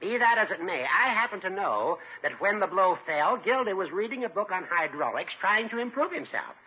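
An actor playing a pompous, sympathetic older small-town judge in a 1950s radio comedy, speaking in flowery, formal language. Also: male voice, high-pitched, big pitch swings, a little fast, breathy edge, full character voice. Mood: grave, indignant. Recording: muffled, narrow-band 1950s radio recording.

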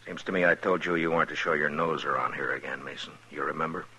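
An actor playing a stern, unflappable Western marshal in a radio drama, terse, dry and commanding. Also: male voice, extremely low pitch, flat delivery, fast talker, gravelly, bright, forceful tone, husky, heavily acted. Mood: hard, commanding. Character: stern, unflappable Old West town marshal, grown man. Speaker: lead actor and narrator. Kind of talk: 1950s radio western acting. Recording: old radio recording with music.

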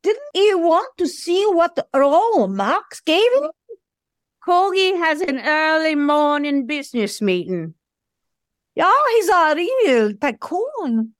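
A woman playing an excitable, theatrical show dog in an audio play, with a gushing, dramatic manner. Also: high voice, takes it slowly, dry recording, studio quality, exaggerated voice. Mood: wry.

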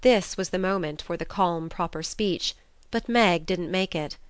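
Smooth, clear woman narrator. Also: fairly low pitch, a little slow, no echo. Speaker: audiobook narrator. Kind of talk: scripted literary narration.

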